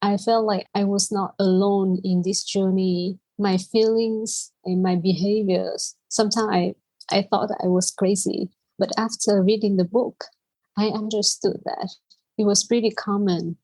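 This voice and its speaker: A woman's voice: mid-range voice, dark tone, no room sound, low-quality recording. A woman from Vietnam, a native Vietnamese speaker with a Vietnamese accent, telling her personal story as a podcast guest.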